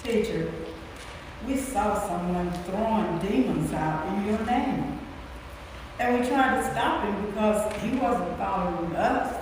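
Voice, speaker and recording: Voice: medium pitch, slow. An amateur actor playing an earnest questioning follower in a staged Bible reading. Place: large church interior. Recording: distant echoey church hall, clear noise.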